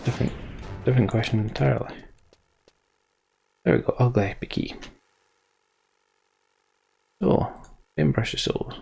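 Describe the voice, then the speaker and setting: A man's voice: mid-range voice, unhurried, vocal fry, pauses often, darkish tone, soft background music, rough recording. A man giving casual live game commentary, rambling as he thinks aloud. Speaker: game streamer.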